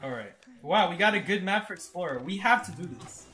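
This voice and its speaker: Excitable young man streaming a game. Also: fairly quick, faint music behind. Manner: excitable, laughs often, swings from loud disbelief to low mutters. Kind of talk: live gameplay commentary.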